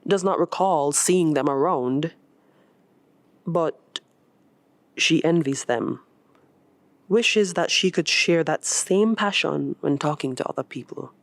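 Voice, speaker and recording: A woman reading a short story as scripted narration, in an expressive, measured storytelling manner. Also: low-pitched, no room sound. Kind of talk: scripted narration of literary fiction.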